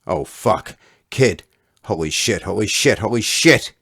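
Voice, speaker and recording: A man voicing a fat kid bully with a soft heart in a storybook reading, loud, blustering and foul-mouthed. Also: fairly low pitch, animated, a little slow, gravelly, husky, no room sound, studio quality, voice pushed up, heavily acted. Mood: frantic, apologetic.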